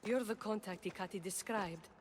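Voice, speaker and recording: A woman's voice: mid-range voice, normal speed, natural voice. An actress playing a serious, lovesick young woman in a video game, explaining earnestly. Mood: earnest, sombre. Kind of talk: video game cutscene acting.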